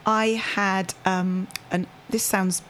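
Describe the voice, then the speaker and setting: A woman's voice: mid-range voice, little pitch movement, takes it slowly, fairly bright tone, slight background noise. A middle-aged British woman chatting casually, good-humoured and easily amused. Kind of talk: casual chat.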